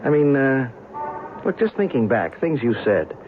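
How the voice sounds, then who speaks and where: A man's voice: mid-range voice, sing-song, measured pace. An actor playing a brash, skeptical American newspaperman in an old-time radio drama, casual and wisecracking. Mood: probing. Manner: breezy, colloquial, incredulous. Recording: tinny, noisy old radio recording.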